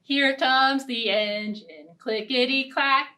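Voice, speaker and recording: A young woman hosting a storytime for small children, speaking in simple, deliberate phrases. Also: high voice, steady tone, noisy background. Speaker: children's library storytime host. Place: indoor storytime set. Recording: distant mic, ukulele music. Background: ukulele strumming.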